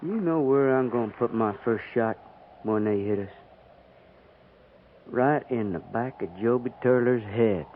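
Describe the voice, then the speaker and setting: An actor playing a bitter, grumbling cavalry private with a rural American accent and a folksy drawl, in a 1950s radio western. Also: male voice, mid-range voice, measured pace, lightly acted. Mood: bitter, griping. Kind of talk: 1950s radio drama acting. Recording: tinny, band-limited, noisy 1950s radio recording.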